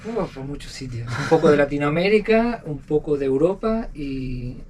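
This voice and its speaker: A man with an Argentine accent telling stories as a podcast guest, talking in a halting way and repeating words. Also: a little high, animated, a little mellow, recorded from a distance, slight background noise. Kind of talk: casual storytelling interview. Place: aboard a sailboat.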